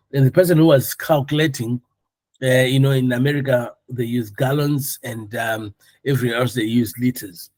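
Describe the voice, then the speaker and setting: A man's voice: mid-range voice, darkish tone, no room sound. A man instructing a class in an informal, conversational and jokey way, asking questions and explaining step by step. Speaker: air traffic control course instructor.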